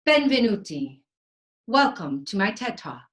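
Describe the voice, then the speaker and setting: A woman acts out a vain, playful Renaissance inventor in a comic stage monologue. The delivery is unhurried and florid, with dramatic pauses and flourishes of Italian. The pitch sits in an average female range. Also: big pitch swings. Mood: playful, courtly. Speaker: stage actor.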